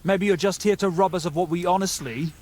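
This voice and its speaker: An actor playing a nervous, gullible young medieval bandit in a game, with a hesitant, stammering, excitable way of talking. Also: male voice, high-pitched, a little fast, a little hiss or hum, close to an everyday voice. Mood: reluctant, defensive. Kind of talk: video game dialogue acting.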